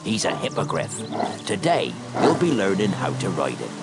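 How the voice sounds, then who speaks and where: A man voicing a big, jovial creature-keeper and teacher in a video game, hearty and folksy, with a gravelly voice. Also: low voice, wildly swooping pitch, moderate pace, exaggerated voice. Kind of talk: video game character acting. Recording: loud music behind the voice.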